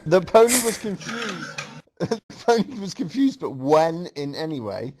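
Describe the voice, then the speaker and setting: A man's voice: a little high, unhurried, a little mellow, slightly rough, rough recording. A man reading a story aloud to a group as host, reacting casually and often amused as he goes. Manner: amused, breaking into laughter while reading.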